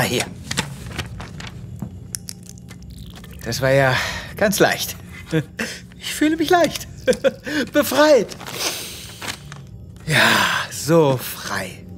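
A man's voice: fairly high pitch, noisy background. An actor playing a weary, exasperated male space veterinarian in an audio drama, dramatic and given to muttering. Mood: relieved. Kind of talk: German audio drama acting.

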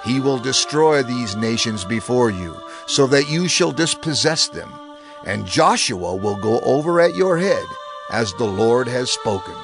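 An elderly prophet addressing his people in a scripture drama. His delivery is earnest and emphatic, with lively, wide-swinging intonation over clear background music. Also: medium pitch, a little slow. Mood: resolute, encouraging.

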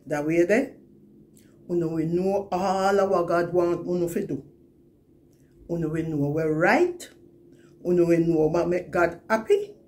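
An older woman with a Jamaican accent reads scripture to camera in a measured, deliberate way. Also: fairly low pitch, darkish tone. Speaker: scripture reader. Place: plain indoor room, seated.

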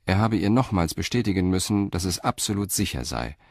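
A man's voice: low-pitched, lively intonation, brisk, no echo, studio quality. A man reading scripted narration for an audio drama.

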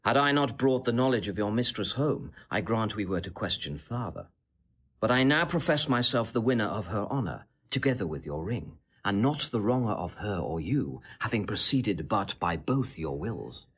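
An actor playing a sly, boastful gentleman seducer in classical Shakespearean audio drama, insinuating and relishing every detail. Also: lowish voice, very animated, moderate pace, breathy edge, exaggerated voice. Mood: taunting. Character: sly, boastful Italian gentleman, smooth-tongued seducer taunting a husband.

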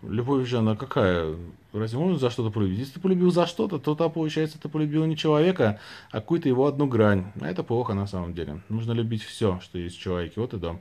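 A man's voice: mid-range voice, lively intonation, fast, darkish tone. Male livestream host, thirties, mid-range voice, informal question-and-answer chat recorded on a phone. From Saint Petersburg, Russia. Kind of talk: casual chat, reading out and answering viewers' questions. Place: small room indoors, lying back on pillows. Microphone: phone at arm's length.

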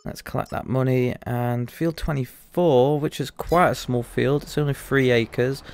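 A man's voice: mid-range voice, steady tone, a little slow, a little mellow. A young man in casual let's-play commentary, chatty and rambling, his voice still a bit rusty after losing it. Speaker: gaming YouTuber.

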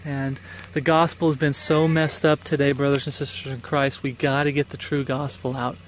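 A man's voice: mid-range voice, level tone, normal speed, slight background noise. A middle-aged man giving an informal, rambling sermon while walking outdoors on a wooded trail, recorded on a handheld phone. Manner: earnest, rambling, exhorting with fillers. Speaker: street preacher. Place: foggy woodland trail outdoors. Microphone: phone camera held at arm's length.

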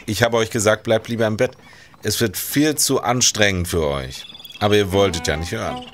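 A man's voice: fairly low pitch, moderate pace, natural voice. An actor playing a dry, chiding know-it-all policeman in an audio drama. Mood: dry, teasing.

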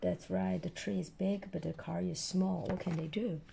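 A woman storyteller with a hoarse voice reading a children's picture book aloud and explaining it simply to a child. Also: fairly low pitch, steady tone, moderate pace, mellow. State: hoarse, losing her voice.

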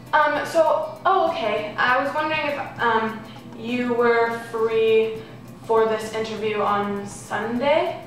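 A teenage girl speaks slowly and haltingly, filling gaps with ums as she politely asks her questions. Her fairly high voice bounces around an echoey room, with faint music behind her. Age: teens. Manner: hesitant, many ums and nervous laughs. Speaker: student interviewer. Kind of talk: polite phone call and interview questions. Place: indoor room. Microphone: camera across the room.

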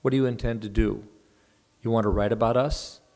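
A man reading aloud as an older woman friend, sharp, mocking and threatening, with a low gravelly voice. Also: steady tone, fairly quick, lightly acted. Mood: sharp, scornful. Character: older woman, bitter and fierce, scornful friend.

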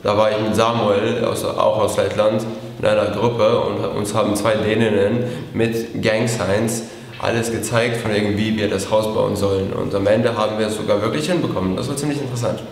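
A man's voice: on the low side, fairly even intonation, moderate pace. An eighteen-year-old man from Latvia answering interview questions casually, hesitant, with frequent fillers. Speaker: camp participant. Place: indoor room against a bare wall. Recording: echoey room with long reverb.

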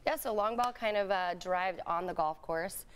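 A woman's voice: fairly low pitch, smooth. A woman in her thirties, guest on a live TV morning show, talking casually about her drink brand in a studio. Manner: casual, breezy, quick to joke. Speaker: drink company founder, guest. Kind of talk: TV studio interview.

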